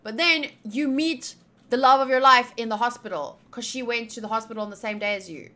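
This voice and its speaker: A woman's voice: fairly high pitch, a little fast, bright, forceful tone. A young woman streaming a game playthrough, casually reading dialogue aloud and drifting into playful, rambling asides.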